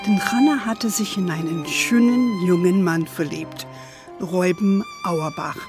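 An actress playing an older, indulgent grandmother telling stories in a children's radio play, with a playful, calm manner. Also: on the low side, over music, natural voice. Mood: gentle storytelling. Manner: unhurried, sprinkles English endearments.

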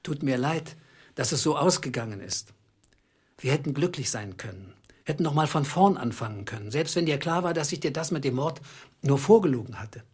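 An actor playing a middle-aged, bitter clerk who speaks in a deliberate, accusing, sarcastic way in a radio drama. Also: male voice, fairly high pitch, expressive, husky. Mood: regretful. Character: bitter, calculating small clerk confronting his treacherous wife.

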